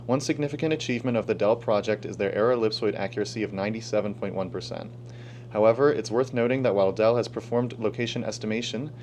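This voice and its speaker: Low-voiced teen boy over background hum. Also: a little mellow. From Pennsylvania, United States. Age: teens. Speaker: student research presenter. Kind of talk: scripted presentation. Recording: clear background hum and noise.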